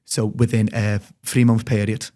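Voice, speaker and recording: A man in his thirties with a light Liverpool accent, telling stories as an interview guest in a chatty, animated way. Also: fairly low pitch, moderate pace. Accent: light Liverpool (Scouse). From England, raised in Spain. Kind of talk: casual interview chat.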